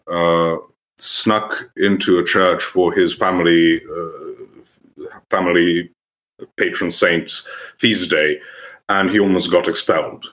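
A young man with a Serbian accent, a podcast guest who speaks in a thoughtful, halting way with frequent restarts. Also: sits low, a bit bright. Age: young adult. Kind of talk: conversational podcast interview.

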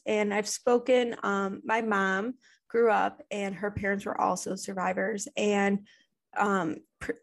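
A woman hosts an interview podcast, her mid-pitched voice full of gravelly fry. She reads an introduction and then asks long, earnest questions of her guest. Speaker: podcast host.

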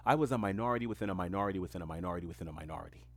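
A man with a very low, deep voice talks on his own podcast. He rambles in a reflective stream of consciousness and leans on repeated phrases for emphasis. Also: rapid-fire. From New York City, Queens. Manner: reflective, emphatic, rhetorical repetition. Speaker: podcast host. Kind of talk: solo stream-of-consciousness monologue.

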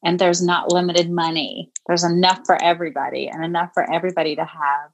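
A woman's voice: fairly low pitch, level tone, fairly quick. A woman in her fifties talking as a guest in a casual interview, chatty and reflective, with many fillers. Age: 50s. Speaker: podcast guest, boutique owner.

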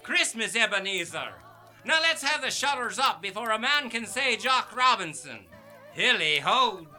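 A jolly fat old boss, radio play, super high bright voice, hearty shouty calls. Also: male voice, sing-song, measured pace, soft background music, exaggerated voice. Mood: jolly, rallying. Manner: hearty, booming exclamations. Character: jovial, portly old merchant master, big-hearted. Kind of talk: theatrical radio play acting.

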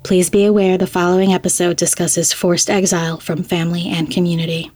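A woman hosts an audio fiction podcast with a scripted introduction. Her voice sits on the low side and stays very even, with soft music underneath. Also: brisk, slightly rough, no room sound. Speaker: podcast host.

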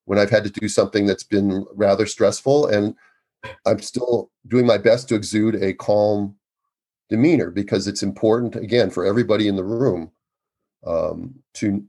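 A man in his sixties talks loudly and earnestly as an obstetrician guest, leaning on his points with emphasis. His voice sits a little low and has a husky, breathy edge. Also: steady tone, normal speed. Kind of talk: conversational interview.

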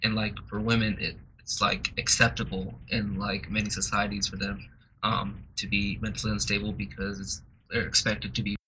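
A young man joins a group discussion, hedging and filling his speech with "like". His voice is low and flat. He is recorded in a room with clear background noise. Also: moderate pace, low-quality recording. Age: young adult. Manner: hedging, fills with "like". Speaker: roundtable participant.

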